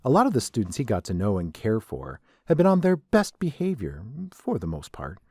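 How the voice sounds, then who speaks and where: A man's voice: lowish voice, wildly swooping pitch, brisk, mellow, no echo, clean studio sound, soft background music. A man narrating a scripted story.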